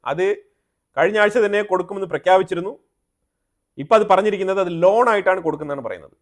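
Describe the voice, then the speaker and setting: A man's voice: sits high, very fast. A man with a Malayali accent presenting explanatory news commentary, often rephrasing himself and mixing in English terms.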